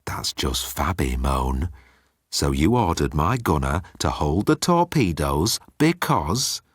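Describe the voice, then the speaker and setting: A pompous old male alien commander, played by a man, menacing and sardonic. He croons slowly and deliberately in a low, husky register, as a villain in a sci-fi audiobook. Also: very animated, no room sound, clean studio sound, heavily acted. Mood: cold, mocking. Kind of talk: audiobook character acting.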